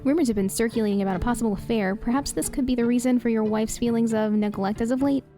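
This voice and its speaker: A woman's voice: a little high, fast, mellow, faint music behind, lightly acted. An actress playing a female detective who questions a mayor formally and pointedly. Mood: probing. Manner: formal, probing. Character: poised female police detective. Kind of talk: audio drama acting.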